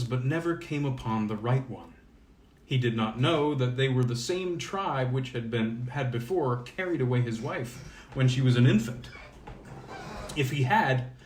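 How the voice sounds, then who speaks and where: A man's voice: mid-range voice, reverberant, distant mic. A man speaking as an informal storyteller, reading and introducing old tales.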